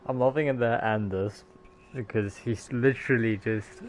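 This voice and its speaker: A man's voice: medium pitch, steady tone, normal speed. A man giving casual, laid-back, slangy commentary as he plays a video game.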